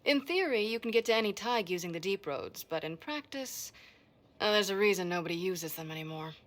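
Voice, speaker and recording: An actress playing a brisk, self-assured expert in a fantasy video game, with a dry, matter-of-fact delivery. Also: female voice, medium pitch, animated, close to an everyday voice.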